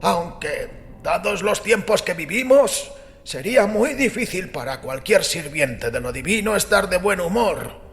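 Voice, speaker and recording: Kindly old priest for an audio story, high voice, very polite old-timey talk, music under it. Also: male voice, animated, measured pace, gravelly, fairly bright tone, big-room sound, full character voice. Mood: wry. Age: older. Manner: courteous, formal archaic speech. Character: elderly arthritic priest, gentle, good-humored, last of his church. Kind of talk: audiobook character acting.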